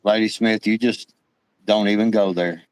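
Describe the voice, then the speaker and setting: A man's voice: on the low side, steady tone, normal speed, no room sound. Easygoing man in his late fifties. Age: 50s. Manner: easygoing, swears casually. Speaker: livestream co-host. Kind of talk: casual livestream chat.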